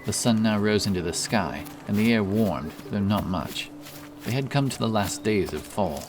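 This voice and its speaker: A man's voice: fairly low pitch, animated, moderate pace. A man narrating a dramatic fantasy story as an audiobook, over background ambience. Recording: clear background ambience under voice.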